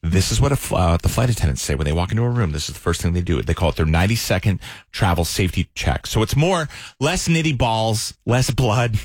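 A man hosting a morning radio show, talking loud and playful with a booming voice. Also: expressive, quick pace, breathy edge, no echo. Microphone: studio mic.

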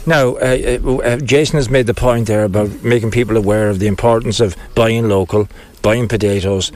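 A man presenting from a farm field, a brisk, chatty interviewer. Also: lowish voice, steady tone, darkish tone, slight background noise. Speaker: radio presenter.

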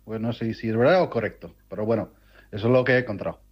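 An Irish man whose first language is English, leaving a hesitant, halting message in Spanish. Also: on the low side, dull audio. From Ireland. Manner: hesitant, searching for words. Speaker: caller.

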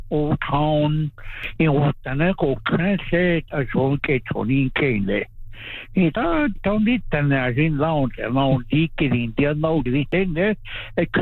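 A man giving halting radio interview answers with many restarts. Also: on the high side, dry recording. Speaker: interview guest. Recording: tinny phone-like line, dry.

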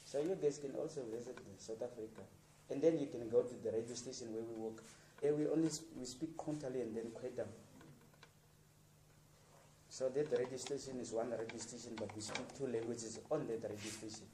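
A radio producer from Namibia talking informally to students, encouraging them with plain, repetitive sentences and restarts. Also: male voice, medium pitch, fairly even intonation, a little fast, mellow, roomy sound, far from the mic, slight background noise, poor audio.

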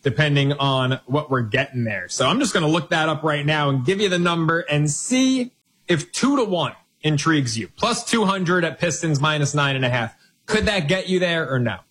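A man hosting a live sports betting show, bantering briskly with a guest and running through lines and numbers. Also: fairly high pitch, lively intonation, fairly bright tone, breathy edge. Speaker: sports betting radio host.

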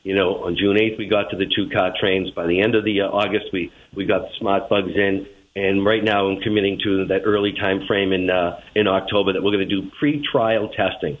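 Low-voiced Boston man on a phone line. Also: little pitch movement, brisk, hardly any pauses. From Boston, United States. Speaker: transit agency general manager, interview guest. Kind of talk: spoken radio interview answers. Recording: narrow tinny phone line.

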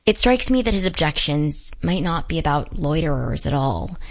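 Low-voiced woman reporter narrating. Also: very animated. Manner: measured, descriptive reporting. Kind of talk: scripted narration.

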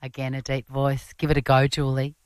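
An Australian woman hosting a radio show, chatting casually and laughing at herself, with a fairly low voice. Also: completely flat delivery, brisk, darkish tone, smooth, no room sound. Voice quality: low register. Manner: laughing, chatty, self-deprecating. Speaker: radio host. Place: radio studio.

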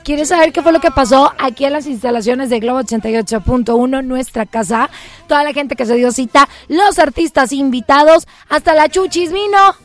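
A high-pitched Mexican woman hosts a festive radio show from her studio booth. She talks with a Mexican accent, teasing and joking, and now and then shouts a cheer. Faint music plays behind her. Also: a little fast. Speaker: radio host. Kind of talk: lively radio show hosting.

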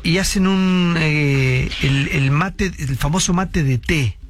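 A man's voice: a little high, takes it slowly. A man with an Argentine accent explaining things in casual chat as a radio panelist.

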